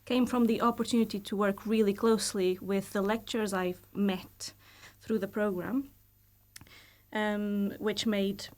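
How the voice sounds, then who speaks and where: A woman in her thirties speaks with a Portuguese accent, a mid-pitched voice as a guest on a studio podcast. She talks about her work in a thoughtful, measured way. She often pauses and hedges with fillers as she gathers her thoughts. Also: steady tone, darkish tone. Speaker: guest, university lecturer. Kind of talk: casual podcast interview.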